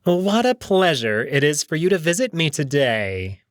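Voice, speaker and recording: A man's voice: a little high, big pitch swings, normal speed, no echo, clean studio sound, pitched above the performer's own voice. A man voicing a smug, suave young jackal prince in an audio drama, with airy, courtly, teasing politeness. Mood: smug, mocking.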